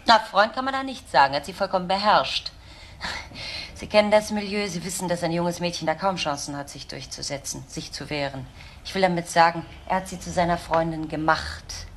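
A woman's voice: on the low side, fairly quick, slight background noise, close to an everyday voice. An actress playing a guarded, protective woman in a German radio crime drama, speaking insistently and articulately. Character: controlling, protective woman shielding a frightened younger friend.

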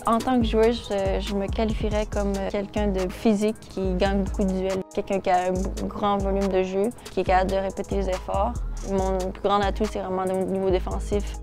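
A woman in her twenties from Quebec with a Québécois accent, a footballer chatting casually to the camera with relaxed fillers. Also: mid-range voice, normal speed, few pauses. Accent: Québécois French. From Quebec, Canada. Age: 20s. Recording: music playing behind.

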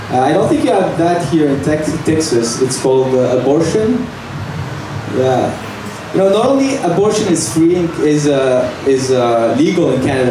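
A young man from Algeria doing a stand-up set at an open mic, delivering jokes deadpan with halting fillers and nervous laughs, on a handheld mic in a small bar. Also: fairly high pitch. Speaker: stand-up comic. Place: small bar stage indoors. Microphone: handheld mic, filmed from far camera. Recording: echoey room from far off, noisy.

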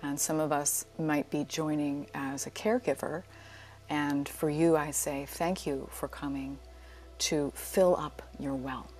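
A woman teaching yoga nidra, leading a guided relaxation in a soothing, unhurried, gently inviting way. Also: low-pitched, fairly even intonation, soft background music. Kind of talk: guided meditation.

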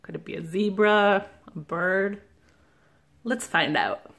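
A woman, a children's librarian, reading a picture book aloud slowly and gently, stopping to ask the listeners questions. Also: medium pitch. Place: indoors at a table, camera above the book.

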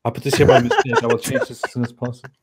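A young man with a mid-pitched voice talks on a casual livestream video call. He jokes in a mock-solemn, pious manner, often repeating a phrase before going on. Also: huge pitch swings, very fast, a crowd in the background. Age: young adult. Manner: mock-pious, hesitant repetition. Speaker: guest on a livestream. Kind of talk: casual joking livestream chat. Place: small room at home, video call.

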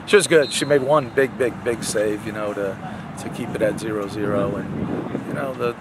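A man's voice: lowish voice, normal speed, crowd noise. An older man, a head soccer coach, answering post-game interview questions on a field at night, with many fillers and restarts.

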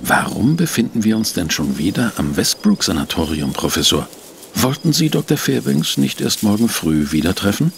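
An actor playing a curious, uneasy assistant to a detective in a German audio drama, questioning and eager. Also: male voice, lowish voice, very animated, normal speed, creaky, background noise. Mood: puzzled. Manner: questioning, eager, slightly puzzled.

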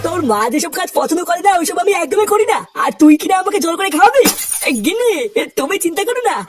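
A man voicing a hard-drinking, henpecked old father in a comedy cartoon, crude and blustering, with a pitched-up voice. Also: animated, quick pace, distant mic. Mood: indignant, wheedling. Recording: music bed behind the voice.

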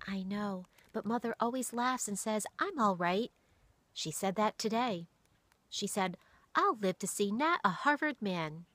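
A woman putting on a wide-eyed young girl who keeps her voice low and breathy, as if telling secrets at night. It has a bright edge and sits close in a dry storybook reading. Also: expressive, measured pace, no room sound. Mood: hushed. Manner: whispering.

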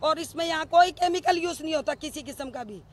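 A woman's voice: high voice, a little fast, a crowd in the background. A middle-aged woman, speaking outdoors into a bank of press microphones, accusing and demanding answers with rhetorical questions. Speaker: bereaved mother speaking to press. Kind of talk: emotional press statement. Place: outdoors in a crowded street. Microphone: cluster of press microphones.

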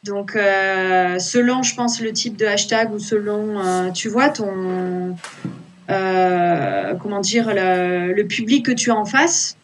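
A woman's voice: mid-range voice, slow pace, a little hiss or hum. A woman in her thirties, an archaeological illustrator, talking casually on a video call from a small room at home, with many fillers and restarts. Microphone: laptop webcam on a video call.

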